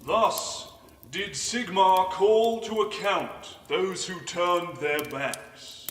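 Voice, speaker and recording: A man's voice: sits high, drawn-out words, noisy background. A man giving grand, solemn, theatrical scripted narration of fantasy lore. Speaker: voice actor, narrator. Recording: long hall reverb, music behind.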